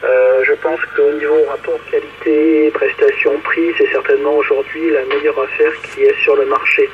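A man's voice: fairly high pitch, little pitch movement, moderate pace. A man chatting casually as an amateur radio operator over a two-way radio, hesitating as he searches for words. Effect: two-way radio transmission. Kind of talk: casual radio contact chat, passing turns. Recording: dull radio signal with clear static noise.

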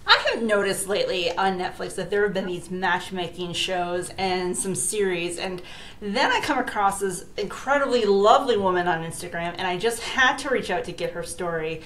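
A woman's voice: medium pitch, normal speed, fairly bright tone, rough recording. A middle-aged woman hosting a podcast, introducing and interviewing a guest. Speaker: podcast host.

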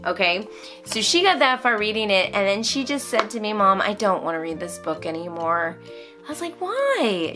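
A woman in her thirties or forties in casual, animated conversation to camera, reviewing things and breaking off her own sentences, recorded in a room at home. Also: mid-range voice. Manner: animated, conversational, self-interrupting. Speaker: vlogger and homeschooling mother. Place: small furnished room at home, indoors.

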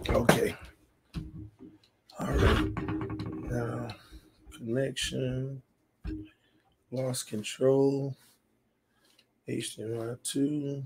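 A man hosting a casual livestream from his room at home while setting up a game, talking quietly because he is losing his voice. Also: medium pitch, little pitch movement, drawn-out words, long pauses, very noisy. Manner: talks quietly to spare his voice, reads chat aloud. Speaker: sports channel host. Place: small home room full of fan decor. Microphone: desk mic on stand.

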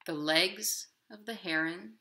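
A woman hosting storytime, speaking in simple, clear phrases to young children. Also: on the low side, slow, background noise. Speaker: children's library storytime host. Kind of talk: storytime for young children, talking and reading aloud. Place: living room at home, indoors.

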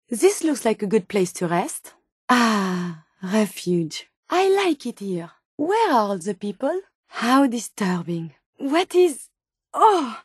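An actress playing an earnest, curious French robot medical companion in a video game, speaking precisely and politely with a French accent. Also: female voice, sits high, a little mellow, no room sound, studio quality, heavily acted. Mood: observant, concerned, then disgusted. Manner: precise, polite, wide-eyed, exclamatory.

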